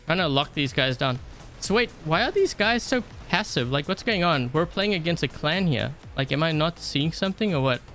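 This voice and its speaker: A man's voice: on the high side, lively intonation, moderate pace, a bit bright, soft background music. A man from South Africa commentating his own game live, chatting and thinking aloud with lots of fillers. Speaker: game streamer. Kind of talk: casual live-stream gameplay commentary.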